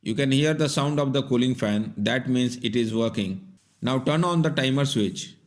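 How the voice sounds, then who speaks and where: A man's voice: medium pitch, normal speed. A man giving scripted, step-by-step instructional narration in a plain, methodical way. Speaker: repair tutorial narrator.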